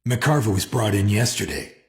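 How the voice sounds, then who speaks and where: An actor playing a sceptical intelligence interrogator in a video game, cold, measured and probing. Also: male voice, fairly low pitch, animated, vocal fry. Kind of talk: dramatic cutscene acting.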